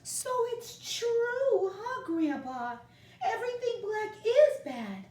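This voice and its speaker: Little storybook boy, put on by a woman: high-pitched, anxious and pleading, from across a roomy space. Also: big pitch swings, takes it slowly, darkish tone, distant mic, exaggerated voice. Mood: anxious, surprised. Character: small boy, worried and unsure.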